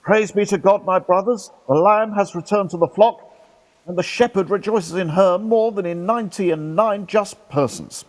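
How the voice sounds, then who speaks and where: A man's voice: sits high, moderate pace, natural voice, low-quality recording. A man playing a devout, earnest medieval friar in a radio play, speaking formally and solemnly. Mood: pious relief. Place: actor's home, live remote recording.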